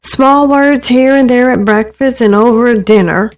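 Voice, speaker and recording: An insecure eighteen-year-old girl played by a woman tells her story in a visual novel. Her voice sits fairly high, earnest and hesitant, with a defensive, easily hurt edge and the odd stammer. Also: a little slow, natural voice. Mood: wistful, uneasy. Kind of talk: first-person visual novel reading.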